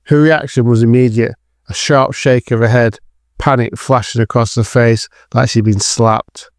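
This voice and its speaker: A man's voice: mid-range voice, steady tone, normal speed, no room sound, studio quality. A man narrating a scripted dramatic thriller as the storyteller of an audio drama.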